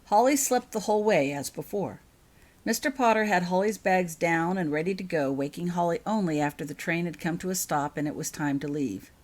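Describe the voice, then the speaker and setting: A woman narrating fiction as an author reading aloud, in an expressive storybook style. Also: lowish voice, fairly quick.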